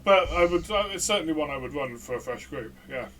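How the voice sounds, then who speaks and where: A man, a player and co-host, chatting casually in a loose, halting way. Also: fairly high pitch, animated, fairly bright tone. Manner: loose, halting, laughs at his slips. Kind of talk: casual review chat. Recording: distant mic with noticeable hiss.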